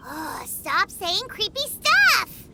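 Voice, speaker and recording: Casting: small childlike game fairy sidekick, squeaky, slow-paced, indignant, exclaiming. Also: female voice, wildly swooping pitch, gravelly, very noisy, exaggerated voice. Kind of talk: video game voice acting. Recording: loud music over the voice.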